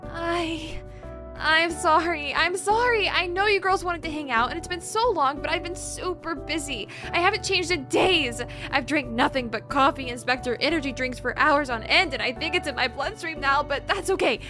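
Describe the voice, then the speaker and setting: Woman acting a high-voiced, nervous bookish young researcher. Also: normal speed, music in the background, close to an everyday voice. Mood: apologetic, rambling. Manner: stammering, wordy, formal vocabulary. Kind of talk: audio drama acting.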